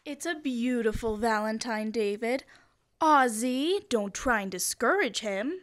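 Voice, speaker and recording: A reasonable suburban mother in a vintage radio comedy, played by a teenage girl. She speaks in a fairly high voice and gently keeps her family in line. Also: animated, measured pace. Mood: approving. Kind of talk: old-time radio comedy acting. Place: school library. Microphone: standing stage microphone.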